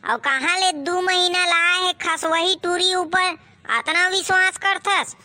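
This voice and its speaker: A man's voice: very high voice, fairly even intonation, measured pace, bright, forceful tone, full character voice. A man voicing a bossy, cynical young village buddy in a comedy cartoon, scolding and taunting in slang with a Chhattisgarhi accent.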